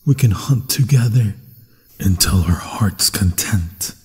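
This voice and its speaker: A predatory werewolf, acted out by a man, speaks low and very slowly in a dark, breathy voice that often sinks to a whisper. He is possessive and hungry, coaxing in a menacing way, as in an ASMR fantasy roleplay. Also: expressive, in a large hall, heavily acted. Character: predatory, possessive male werewolf hunting its human prey.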